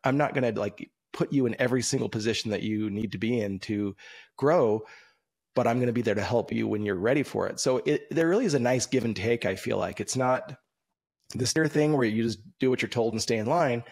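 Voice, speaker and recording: A man in his thirties hosts an interview from a bookshelf-lined room over a webcam, his voice sitting at an ordinary middle pitch. He speaks in a relaxed, reflective, conversational way. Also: a little fast, vocal fry. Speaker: podcast host. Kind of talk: casual video-call interview. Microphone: video call, webcam.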